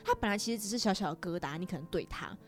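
A woman with a Taiwanese accent hosting a casual chat, telling things in an animated way and acting out little remarks. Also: mid-range voice, very fast, faint music behind. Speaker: podcast host.

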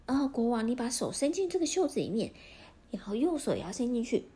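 A woman's voice: on the high side, big pitch swings, brisk, mellow, pitched above the performer's own voice. Woman playing a sly, coaxing tailor. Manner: coaxing and smooth-talking.

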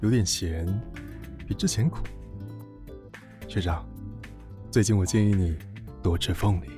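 A man playing a stoic, devoted, quietly teasing younger police partner, deadpan and softly spoken, in an audio drama. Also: low-pitched, a little slow, faint music behind. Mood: intimate teasing. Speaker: voice actor.

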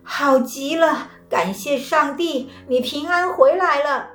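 A woman's voice: sits high, slow pace, pitched above the performer's own voice. Woman doing a gushing, delighted old wife. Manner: gushing, excited praise. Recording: roomy, with faint music.

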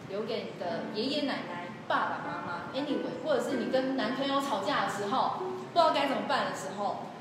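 A woman with a Taiwanese Mandarin accent, co-hosting a livestream in a chatty, expressive storytelling way. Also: a little high, brisk. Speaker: co-host of a livestream. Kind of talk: casual promotional chat. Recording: very echoey hall, loud music behind. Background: loud music.

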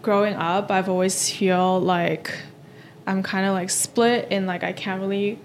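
A woman's voice: medium pitch, steady tone, unhurried, slight background noise. Casual young woman podcast host. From Taiwan. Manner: casual, hesitant, many fillers like "kinda, like".

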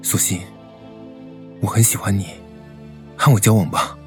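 Man acting gentle, devoted young man. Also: fairly low pitch, steady tone, measured pace, soft background music, lightly acted. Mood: tender, earnest. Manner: tender, earnest, caring. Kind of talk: Mandarin romantic radio drama acting.

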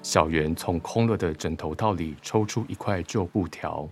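A man's voice: deep voice, moderate pace, faint music behind. A man reading scripted stage directions as the narrator of a radio drama.

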